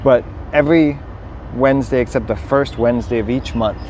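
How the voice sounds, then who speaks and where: A Danish-born man with an American accent tells stories eagerly, rambling and full of fillers. His voice sits at a middling pitch for a man. He was recorded outdoors at a busy pier, with traffic clearly audible behind him. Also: a little fast, darkish tone. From Denmark. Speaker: podcast guest. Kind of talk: casual conversation. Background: city traffic.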